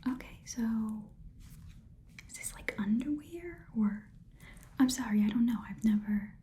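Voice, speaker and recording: A woman's voice: on the high side, expressive, unhurried, mellow, slight background noise. A woman playing a chatty, nosy goblin pawn shop keeper in a whispered ASMR roleplay, rambling casually and muttering repeated words. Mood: friendly haggling.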